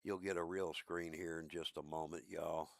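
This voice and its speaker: A man with a low voice chatting casually with viewers while streaming a game, in a laid-back, talkative way. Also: level tone, moderate pace, creaky, dry recording, clean studio sound. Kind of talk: casual live-stream chat.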